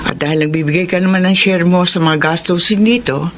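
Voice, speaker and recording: A woman's voice: lowish voice, fairly quick, fairly bright tone, close to an everyday voice. An actress playing a motherly older landlady, firm but affectionate, in a Filipino radio drama. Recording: noisy radio drama broadcast sound.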